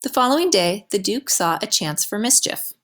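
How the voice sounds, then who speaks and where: A woman reading a children's picture book aloud as a teacher would, with expressive storytelling delivery. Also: medium pitch, normal speed.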